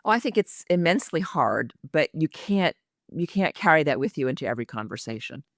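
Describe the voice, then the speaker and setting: A woman's voice: low voice, very animated, brisk, dry recording, studio quality. A woman executive communication coach speaking as an interview guest in an earnest, reflective way.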